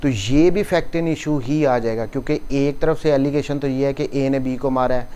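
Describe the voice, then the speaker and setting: A man's voice: medium pitch, steady tone, moderate pace, mellow, smooth. A man with a Pakistani accent giving an explanatory law lecture, mixing in English legal terms and carefully read passages. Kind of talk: explanatory law lecture, reading statute clauses and explaining them.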